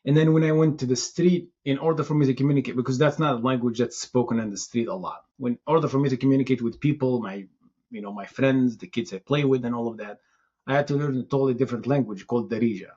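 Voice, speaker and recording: A Moroccan-born man whose first language is Tamazight, answering interview questions in a thoughtful, halting way, often restarting his phrases. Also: mid-range voice, warm tone, breathy edge, dull audio. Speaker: city information security chief, guest.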